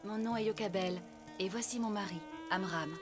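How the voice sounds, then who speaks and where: A woman playing a humble, gentle, welcoming slave wife in a French cartoon dub. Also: medium pitch, normal speed, music in the background, lightly acted.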